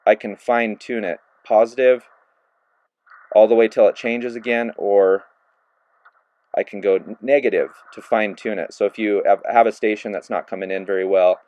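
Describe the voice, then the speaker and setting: A man giving a relaxed, casual hands-on review of a handheld radio, narrating each step with plenty of fillers as he works the controls. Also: lowish voice, normal speed, muffled recording. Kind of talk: casual hands-on product demo.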